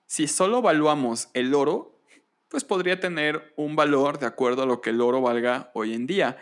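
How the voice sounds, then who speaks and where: A man hosting a podcast, explaining history conversationally in a deliberate way, with pauses and rhetorical questions. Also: on the high side. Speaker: podcast host.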